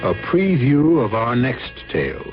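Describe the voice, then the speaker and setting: A man's voice: mid-range voice, very animated, measured pace, music in the background. Muffled male radio announcer. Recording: muffled old radio recording.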